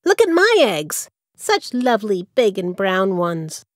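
A woman voicing a bossy, boastful, scolding hen in an animated fairy tale. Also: medium pitch, measured pace. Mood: scornful, bossy.

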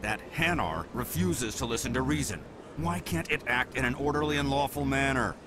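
A man's voice: mid-range voice, lively intonation, normal speed, noisy background, natural voice. A man playing an officious, exasperated security officer in a video game, with a stern manner.

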